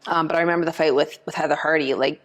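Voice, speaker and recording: A young woman with a notably low voice talks through her boxing career in a casual interview. Portuguese was her first language, and she tells stories loosely, full of "like" and little quoted exchanges. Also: racing. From Canada. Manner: relaxed storytelling, many "like" fillers, acts out quoted dialogue. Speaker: professional boxer, guest.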